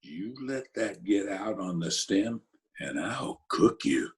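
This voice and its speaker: A gruff Broadway big shot with a soft heart, played by a man for a radio play. He speaks very low and husky, in clipped slang at an unhurried pace. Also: lively intonation, full character voice. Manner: gruff, laconic street slang. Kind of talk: 1940s radio drama acting.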